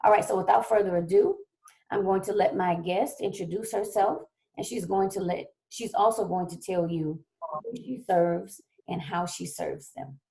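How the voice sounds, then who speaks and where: A woman hosts a live online talk show, her voice a little hoarse as she gets over a cold. Her tone is mellow and warm-toned, and she chats easily, often checking in with her audience. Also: mid-range voice, fairly even intonation, moderate pace, rough recording. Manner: chatty, keeps checking in with "okay?". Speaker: show host. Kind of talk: casual livestream talk show hosting.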